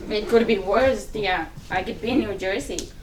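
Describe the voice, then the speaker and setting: A woman's voice: mid-range voice, moderate pace, lightly acted. Woman as weary, wounded Cuban mother for stage drama, pleading and exasperated, slightly distant recording with faint noise. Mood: guarded, wry. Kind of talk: dramatic play reading.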